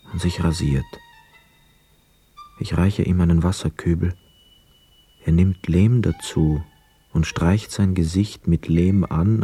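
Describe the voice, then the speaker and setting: An actor playing a brooding, hesitant ex-prisoner of war, telling his story in a measured, introspective way in a radio drama. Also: low-pitched, dark tone. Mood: dreamy, sombre. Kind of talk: literary radio drama narration and dialogue. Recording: close dry studio, music behind. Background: music.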